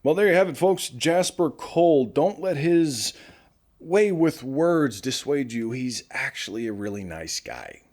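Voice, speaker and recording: Actor as animated post-apocalyptic radio host man. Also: mid-range voice, a little slow. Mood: breezy. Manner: conversational, animated, addressing listeners directly. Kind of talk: audio drama radio host monologue.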